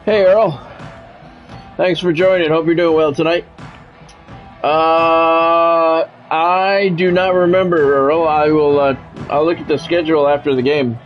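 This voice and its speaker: An American man doing sports play-by-play for a video game stream, mixing game calls with relaxed, casual chat to viewers. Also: on the high side, a little slow, faint music behind. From United States. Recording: dull lo-fi audio with faint noise.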